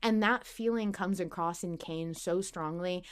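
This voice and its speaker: A woman with a slightly low-pitched voice talks as a podcast host. She is casual and emphatic, in the loose style of fan discussion, and swears freely. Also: unhurried, clean tone.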